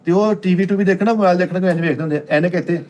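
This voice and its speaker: A man's voice: high-pitched, racing, a little mellow, lo-fi sound. A man with a Pakistani Punjabi accent telling funny stories in casual chat as a panellist on a comedy show. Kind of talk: animated comic storytelling in casual chat.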